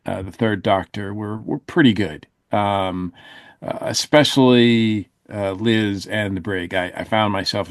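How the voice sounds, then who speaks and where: Halting man reviewing on a podcast. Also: on the low side, no room sound, studio quality. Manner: halting, hedging, thoughtful. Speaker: podcast panelist. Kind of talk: casual review discussion.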